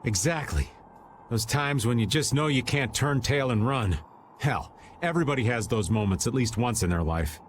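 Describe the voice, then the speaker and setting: A man's voice: medium pitch, animated, normal speed, slight background noise, lightly acted. A man voicing a wry, folksy middle-aged game character who complains with playful self-pity. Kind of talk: video game voice acting.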